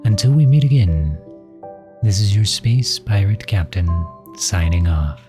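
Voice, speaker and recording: A man's voice: lowish voice, expressive, measured pace, over music. A man delivering a scripted outro as the creator of an audio roleplay.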